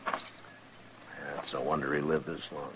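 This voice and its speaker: Deep-voiced, laconic American frontier marshal. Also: male voice, flat delivery, background noise. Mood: grave, terse. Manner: measured, laconic, wry. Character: calm, dry-witted frontier US marshal, grown man. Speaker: narrator and lead actor. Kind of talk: old-time radio western acting and narration. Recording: old radio recording with background music.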